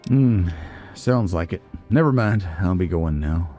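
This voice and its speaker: Guy with a lowish voice doing let's play commentary, musing to himself, bit of music behind. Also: lively intonation, measured pace, mellow. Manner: musing, nitpicking, talks to himself. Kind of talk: casual gameplay commentary.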